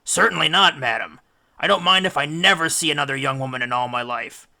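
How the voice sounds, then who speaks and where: A man's voice: on the high side, brisk, creaky, pushed and bright, full character voice. A man voicing a weary older Greek hero, courteous but proud, with formal, declamatory speech in a storybook. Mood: indignant, proud.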